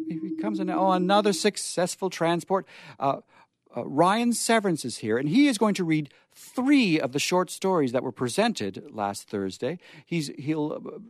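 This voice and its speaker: Male radio host, gushing and playfully theatrical, higher-pitched, swinging intonation. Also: no room sound, clean studio sound. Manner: effusive, gushing. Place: radio studio.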